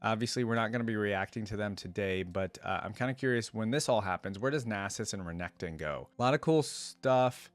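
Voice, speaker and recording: A man's voice: fairly low pitch, fairly quick, few pauses, no room sound. A man hosting a reaction video, reading story lore aloud and breaking off for casual, slangy commentary. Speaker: YouTube reaction host.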